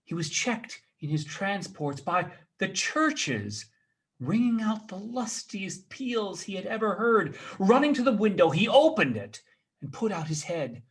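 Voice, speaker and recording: A man reading a classic story aloud as a storyteller, in a theatrical, expressive manner with dramatic pauses. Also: high voice. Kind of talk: dramatic literary narration.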